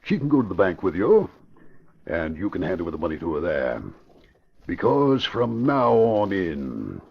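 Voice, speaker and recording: Crooked middle-aged financier for a vintage radio crime play: American, low and husky, commanding, conniving, muffled old recording. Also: male voice, sing-song, moderate pace, heavily acted. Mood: instructing. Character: scheming middle-aged crooked investment boss, commanding and smooth. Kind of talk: 1940s radio crime drama acting.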